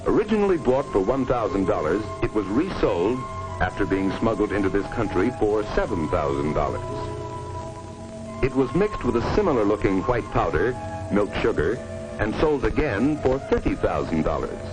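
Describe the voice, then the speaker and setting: A man's voice: on the low side, very animated, moderate pace, over music, rough recording. A man reading a scripted 1950s educational film narration in a grave, dramatic manner on a vintage soundtrack. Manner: grave, stark, dramatic delivery.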